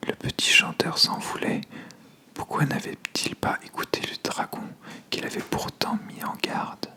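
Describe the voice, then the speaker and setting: A storyteller narrating a scripted fable softly and deliberately in an ASMR style.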